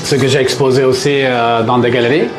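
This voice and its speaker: A man from Istanbul with a Turkish accent, explaining his paper marbling craft to visitors in his small workshop, in halting French with many pauses. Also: medium pitch, level tone, roomy sound, over a noisy crowd. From Turkey (Istanbul).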